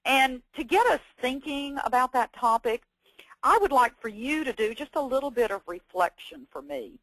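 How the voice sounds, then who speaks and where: A woman's voice: sits high, very animated, normal speed, fairly bright tone, husky, no room sound, poor audio. Folksy older Texan woman presenting. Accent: Texas Southern. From Texas. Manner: folksy, chatty, self-deprecating humor. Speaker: webinar presenter, former teacher. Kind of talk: educational webinar talk.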